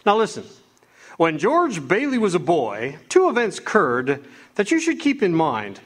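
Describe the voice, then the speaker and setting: An actor playing a kindly, authoritative chief of angels who briefs his helper calmly and fatherly. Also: high-pitched, measured pace, heavily acted. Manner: calm, fatherly, instructive. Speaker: radio theatre actor. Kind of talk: live amateur radio drama acting.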